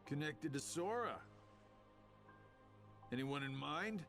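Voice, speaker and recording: An actor playing a sly, laid-back schemer in a video game, with a drawling, sardonic delivery. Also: male voice, on the high side, animated, slow, over music. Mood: musing, calculating. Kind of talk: dramatic video game cutscene acting.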